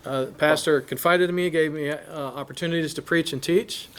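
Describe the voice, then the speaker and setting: Halting male church host and interpreter. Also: a little high, steady tone, a bit bright, clean tone. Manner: halting, restarts phrases while translating. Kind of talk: introducing a speaker, then interpreting.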